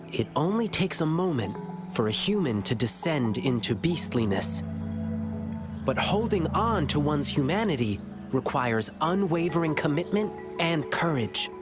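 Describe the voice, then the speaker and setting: Earnest boyish game hero, lively pitch. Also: male voice. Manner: earnest, reassuring, philosophical. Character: earnest, kindly young lion-boy performer, wise beyond his years. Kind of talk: video game character dialogue. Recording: clear music behind the dialogue.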